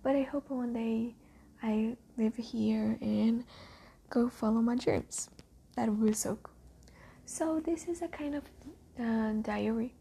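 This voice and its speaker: A teenage girl from Brazil, a native Portuguese speaker with a Brazilian accent, talking hesitantly and softly in a casual spoken diary. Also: fairly high pitch, slow pace, gravelly, slight background noise. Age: teens. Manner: hesitant, many ums, often whispery and soft. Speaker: diarist.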